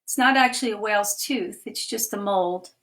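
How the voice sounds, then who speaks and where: A woman, a children's author, reading her picture book aloud in a livestream with clear, expressive delivery and chatty asides. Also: medium pitch, normal speed. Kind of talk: children's storytime read-aloud. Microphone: webcam or phone for a livestream.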